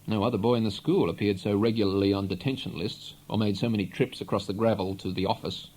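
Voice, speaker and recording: A man reading a literary novel aloud in an expressive, measured storytelling manner. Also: lowish voice, poor audio. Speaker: audiobook reader. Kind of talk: literary narration, read aloud.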